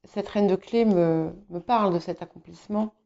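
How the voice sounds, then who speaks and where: Female tarot reader, English mother tongue, mellow creaky delivery, halting and reassuring. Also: lowish voice. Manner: hesitant, searching for words, reassuring. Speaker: tarot reader hosting guidance videos. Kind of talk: informal intuitive tarot reading to viewers. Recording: slightly dull, muffled sound.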